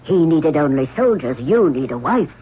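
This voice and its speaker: An actress playing a stern, middle-aged queen mother of ancient Egypt in a 1970s radio drama, with an imperious, measured, formal delivery. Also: deep voice, big pitch swings, husky, exaggerated voice. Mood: firm. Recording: muffled, noisy old radio recording.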